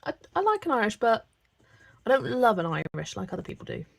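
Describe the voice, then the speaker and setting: A woman's voice: fairly high pitch, wildly swooping pitch, fast talker, gravelly, husky. A young English woman from the West Country with a mild accent, chatting casually while hosting a live stream and laughing often. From West Country, England. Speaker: live-stream host colouring.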